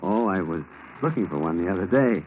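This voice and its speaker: A low-voiced, easygoing undercover federal man in a 1940s radio drama. The old recording carries a light layer of noise. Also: lively intonation, fairly quick, a little mellow, poor audio. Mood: apologetic, probing. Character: friendly, casual undercover FBI agent.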